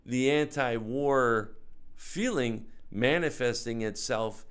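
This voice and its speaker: A man's voice: medium pitch, slow pace, a bit bright, clean tone. An older man with an American accent, talking casually and hesitantly as he thinks aloud about his views. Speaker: interview guest, film maker. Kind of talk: casual conversation about films and politics.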